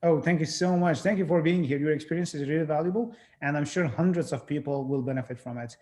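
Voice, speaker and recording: A young man from Syria hosts a casual interview. His voice is a little higher than most men's. He asks questions briskly and in a friendly way over a video call. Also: warm tone. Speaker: interview host.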